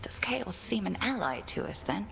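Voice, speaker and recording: A woman playing a stern, measured witch headmistress in a video game, speaking formally and deliberately. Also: medium pitch, big pitch swings, creaky, fairly bright tone, in a whisper, full character voice, poor audio. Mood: composed, measured. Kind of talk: theatrical video game dialogue with formal verse.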